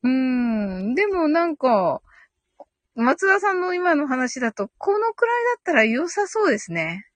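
High female voice, lively intonation, giggly, thinking aloud, casual live host chat, dry close sound. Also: moderate pace, no room sound. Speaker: live audio show host.

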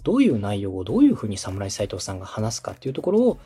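A man's voice: fairly low pitch, huge pitch swings, very fast, mellow, breathy edge. A man from Osaka speaking as a podcast host and radio director, coaching conversationally in a polite, explanatory, step-by-step way. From Osaka, Japan.

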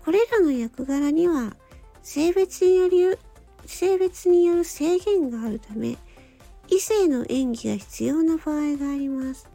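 A woman hosting a solo radio-style talk, speaking politely and gently in short, halting phrases. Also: sits high, faint music behind. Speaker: radio show host and amateur voice actor.

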